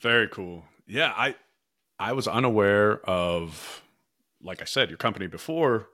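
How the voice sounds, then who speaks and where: Male podcast host, American, low-pitched but bright and forward, self-deprecating, close dry sound. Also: lively intonation, measured pace, no room sound. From Pittsburgh, United States. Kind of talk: casual podcast interview chat.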